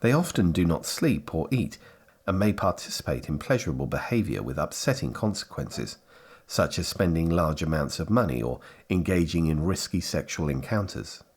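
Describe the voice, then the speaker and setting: A man reading scripted instructional narration for a training film in a measured, carefully phrased way. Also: sits low, sing-song, breathy edge.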